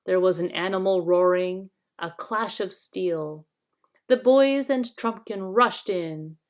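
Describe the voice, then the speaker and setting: A woman narrates a children's adventure story as a scripted reading. She takes her time and reads expressively. Her voice sits at a middle pitch.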